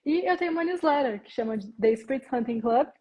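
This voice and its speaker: A woman from southern Brazil, a guest on a live stream, speaking in a relaxed, articulate way. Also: high voice, a little fast, breathy edge, lo-fi sound. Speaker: guest, luxury marketing researcher. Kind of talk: casual live chat. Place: São Paulo.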